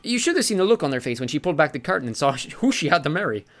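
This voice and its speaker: A man's voice: a little high, very animated, racing, fairly bright tone, voice pushed up. A man voicing a vain, cheerful ancient male sea god in an audiobook, breezy and self-satisfied. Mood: boastful. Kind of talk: audiobook character voice.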